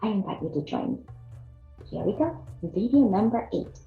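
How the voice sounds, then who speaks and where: A woman's voice: medium pitch, lively intonation, moderate pace, vocal fry, faint music behind. A woman in her fifties from Chile, earnestly narrating a personal video series she hosts. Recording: echoey, slightly dull room sound.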